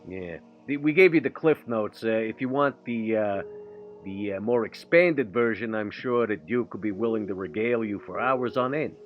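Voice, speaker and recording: A man plays a tabletop game in character with a gruff, stern tone. He halts often and fills gaps with hesitations. Faint music plays behind him. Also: lowish voice, narrow, tinny audio. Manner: gruff, halting with many fillers. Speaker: player. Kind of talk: in-character roleplay.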